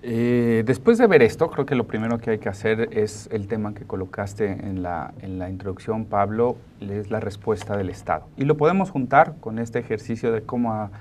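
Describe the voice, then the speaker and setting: A man's voice: on the low side, normal speed, hardly any pauses, darkish tone. A man in his forties with a Mexican accent, hosting an informal studio discussion in a colloquial, digressive way on a lapel mic. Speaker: talk show host.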